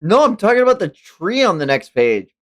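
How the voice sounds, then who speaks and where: A man's voice: sits high, sing-song, a little fast. A man hosting a live read-along, reacting casually and expressively, with exclamations and some swearing. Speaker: livestream host reading manga aloud.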